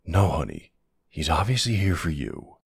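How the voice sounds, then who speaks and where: Man acting as a very deep, gravelly older boyfriend. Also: wildly swooping pitch, normal speed, no echo, studio quality, exaggerated voice. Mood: protective, reassuring. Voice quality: gravelly, husky. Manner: hesitant, sighing, casual endearments. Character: gruff, protective, tender older man, reluctant lover. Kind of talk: intimate ASMR boyfriend roleplay. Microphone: close, dry microphone.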